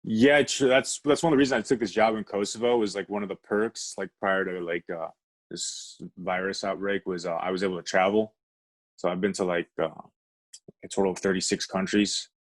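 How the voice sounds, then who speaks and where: American guy w a really low voice, podcast guest just chatting, halting, says like a lot. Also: steady tone. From United States. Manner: halting, peppered with "like". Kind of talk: casual conversational storytelling.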